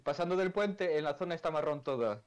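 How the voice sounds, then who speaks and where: A man's voice: fairly high pitch, lively intonation, a little fast. A young man with a Galician accent, grumbling and swearing casually among friends in game chat. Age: young adult. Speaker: gamer in a streamed voice chat. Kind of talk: casual banter while gaming.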